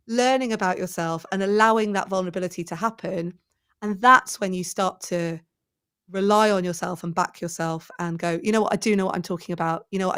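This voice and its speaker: A woman's voice: mid-range voice, clear, resonant tone. A woman in her forties, a presenting coach, talking enthusiastically as a podcast guest and thinking aloud as she goes. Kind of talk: conversational podcast interview.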